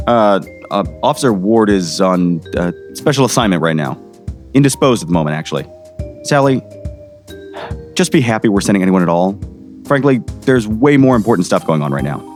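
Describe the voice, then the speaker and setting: A man's voice: fairly low pitch, animated, brisk, faint music behind, natural voice. A man playing a weary small-town sheriff in an audio drama, folksy, patronizing and dismissive. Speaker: voice actor.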